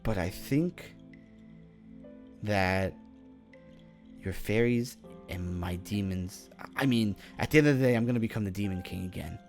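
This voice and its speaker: A man playing a confident young demon mage in an improvised Minecraft fantasy roleplay, speaking in a halting, conversational way and often checking for agreement. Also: fairly low pitch, vocal fry, darkish tone. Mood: brooding. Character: confident young male demon mage, casual, secretive, determined. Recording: voice over background music.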